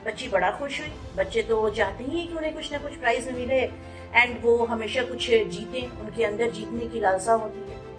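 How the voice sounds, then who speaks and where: High-voiced woman telling a moral story. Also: moderate pace, music in the background. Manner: conversational, mixes English words into Hindi, frequent hesitations. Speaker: motivational speaker.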